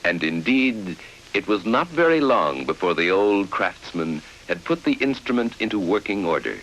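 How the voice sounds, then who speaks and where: A man narrating a vintage educational film from the 1950s in a measured, formal storytelling style, on an old film soundtrack. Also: on the low side, big pitch swings, background noise. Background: music.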